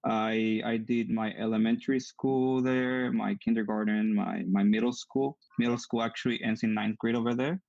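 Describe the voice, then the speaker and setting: A man's voice: on the low side, fairly even intonation, normal speed, gravelly, few pauses, darkish tone, dry recording, highs cut off. A young man from Mexico with a strong Mexican accent, a Spanish native speaker answering earnestly as an interview guest. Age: young adult. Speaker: guest, engineering student. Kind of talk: online interview.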